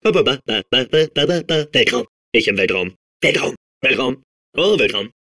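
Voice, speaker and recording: A man playing a manic, childlike robot sphere obsessed with outer space in a video game, babbling and repeating himself in a strained, husky voice. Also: medium pitch, moderate pace. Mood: manic excitement. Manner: excitable, babbling, repeating words compulsively. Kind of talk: video game character voice clips.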